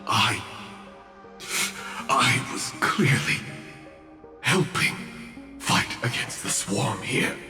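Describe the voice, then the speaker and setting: An actor playing a wounded, desperate, betrayed knight in a game, with halting, anguished delivery. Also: male voice, mid-range voice, animated, pushed and bright, heavily acted. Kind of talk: dramatic scripted game acting. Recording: distant echoey hall, music behind.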